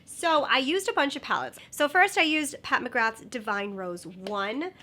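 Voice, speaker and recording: A woman's voice: high-pitched, expressive, a little slow, sharp tone. A young woman with a nasal, stuffed-up voice from a sinus infection, chatting bubbly through a makeup review with lots of fillers. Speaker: beauty vlogger.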